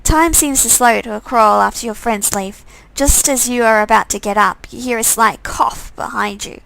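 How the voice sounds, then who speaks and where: High-voiced woman with a creaky edge. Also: moderate pace, a bit bright, dry recording, rough recording. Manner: playful, wry side comments. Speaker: game streamer. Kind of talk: reading visual novel aloud with casual asides.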